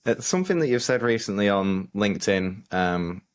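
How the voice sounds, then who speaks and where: Low-voiced male podcast host. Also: sing-song, moderate pace. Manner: friendly, hesitant questioning with restarts. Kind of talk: podcast interview questions.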